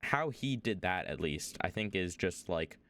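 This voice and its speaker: A man's voice: deep voice, lively intonation, unhurried, a bit bright. A young man streaming as he reacts to a video, talking casually, rambling and hesitant, with lots of fillers.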